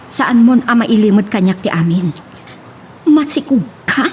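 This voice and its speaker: A woman's voice: mid-range voice, expressive, moderate pace, a little hiss or hum, close to an everyday voice. A woman with an Ilocano Filipino accent playing a concerned, scolding friend in an audio melodrama, probing and emphatic. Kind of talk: Ilocano radio-style melodrama acting.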